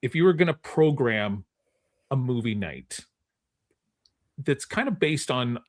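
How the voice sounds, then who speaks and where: A man's voice: mid-range voice, animated, no room sound. Chatty podcast host, man, dry close sound. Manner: halting, chatty, repeats words while forming questions. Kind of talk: casual interview chat.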